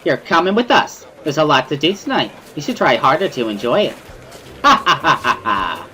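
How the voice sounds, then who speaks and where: Woman playing a bubbly, animated teasing schoolgirl. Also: sits low, normal speed, faint music behind, full character voice. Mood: teasing, amused. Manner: playful, exclamatory, repeats words, laughs loudly. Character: bubbly, excitable, teasing teenage schoolgirl with booming laugh. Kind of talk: amateur dramatic reading of a visual novel.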